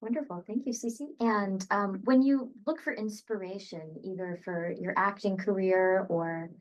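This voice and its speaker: A woman hosting a podcast, asking the guest questions politely and briskly. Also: mid-range voice, fairly even intonation, poor audio. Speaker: podcast host. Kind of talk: interview questions.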